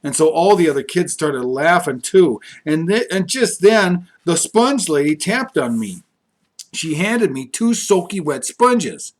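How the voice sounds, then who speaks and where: A man reads a children's story aloud in a fairly high-pitched voice. His delivery is expressive, scripted storytelling, shaped for young listeners. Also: measured pace. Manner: expressive, character-voiced reading.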